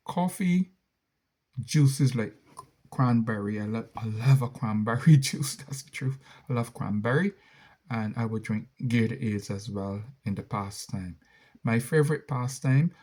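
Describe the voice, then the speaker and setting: Barbadian man, middle-aged, slow, mellow voice, rambling casual Q&A. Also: medium pitch. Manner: slow, rambling, self-correcting. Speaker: content creator answering viewer questions. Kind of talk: casual Q&A monologue.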